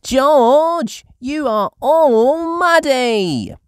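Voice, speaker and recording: A storyteller voicing an excitable little girl piglet, bossy and cheerful, in a children's storybook. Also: high-pitched, extremely slow, dry recording, studio quality, pitched above the performer's own voice, heavily acted. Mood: excited, urgent. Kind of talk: children's storybook read aloud.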